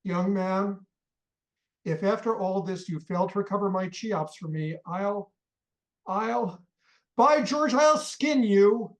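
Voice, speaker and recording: A woman's voice: medium pitch, slow, close to an everyday voice. A woman voicing an irascible elderly rich man in a radio play, blustering and stubborn. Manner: blustering, pleading then threatening.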